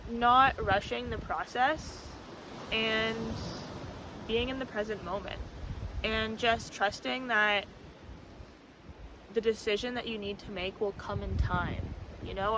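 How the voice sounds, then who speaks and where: A woman with a loud voice rambling casually about spiritual ideas, full of fillers, talking to camera outdoors on a windy spot. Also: fairly high pitch. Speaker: spiritual vlogger. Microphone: camera mic, no external microphone.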